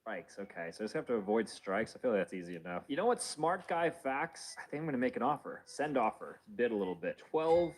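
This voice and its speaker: A man with a mid-pitched voice talks casually. He thinks aloud as he clicks through listings, half to himself. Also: big pitch swings, a little fast, hardly any pauses. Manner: thinking aloud while browsing. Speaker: vlogger. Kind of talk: casual chat.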